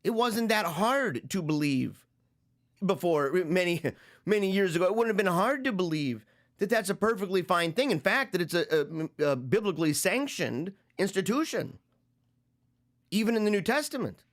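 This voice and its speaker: Halting, reflective man in his forties. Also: high voice, expressive. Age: 40s. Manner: rambling, halting, repeats phrases while thinking aloud. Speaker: podcast host discussing a book. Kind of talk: reflective solo commentary.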